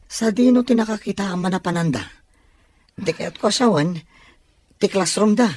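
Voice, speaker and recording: A woman voicing a supportive young male classmate with a husky voice in an audio drama. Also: medium pitch, moderate pace, a little mellow, natural voice. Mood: helpful.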